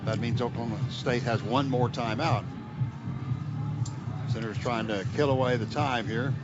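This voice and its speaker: A man's voice: medium pitch, steady tone, normal speed, loud music behind the voice. An American man calling football play-by-play on the radio, with clipped phrases and folksy exclamations. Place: stadium radio booth. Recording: muffled radio broadcast, loud stadium noise. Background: loud crowd and stadium ambience.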